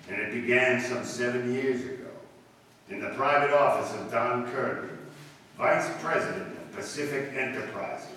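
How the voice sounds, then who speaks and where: A man's voice: medium pitch, fairly bright tone, close to an everyday voice. A man playing the knowing, dramatic narrator of a 1940s-style radio suspense mystery. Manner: dramatic, addresses the character directly. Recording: distant echoey hall, faint noise.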